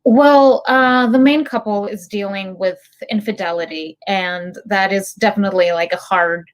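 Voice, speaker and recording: A woman's voice: mid-range voice, normal speed. A woman from Greece, a filmmaker guest, answering interview questions thoughtfully with many fillers.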